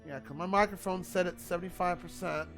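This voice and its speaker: A man streaming a video game, talking in a loud, rambling, chatty way to his viewers. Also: high-pitched, fairly quick, soft background music. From Italian family. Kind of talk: casual gaming livestream chat.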